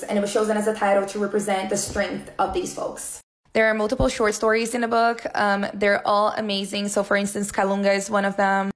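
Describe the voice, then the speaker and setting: A young woman in her twenties from Brazil, speaking English with a Brazilian accent, eagerly recommending a book to her phone camera. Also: medium pitch. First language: Portuguese. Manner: enthusiastic, earnest. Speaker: content creator. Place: indoor room. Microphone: phone camera at arm's length. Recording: echoey room.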